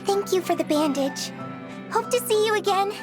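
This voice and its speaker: A mysterious, soft-hearted wandering girl in a video game cutscene. Her voice is high and wistful, with clear music underneath. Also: animated, moderate pace. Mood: touched, happy.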